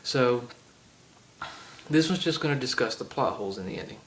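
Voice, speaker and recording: A man's voice: medium pitch, lively intonation, normal speed, a little hiss or hum, low-quality recording. A man with a heavy Southern accent rambling through a casual game rant, full of ums and side tangents. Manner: chatty, many ums and asides. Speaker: gaming vlogger. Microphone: iPod camera.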